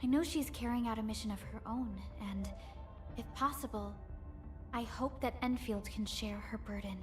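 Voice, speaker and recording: A woman voicing a gentle, earnest young supervisor in a video game, speaking in a measured, formal and wistful way. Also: a little high, soft background music, natural voice. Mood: reflective. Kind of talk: scripted video game character dialogue.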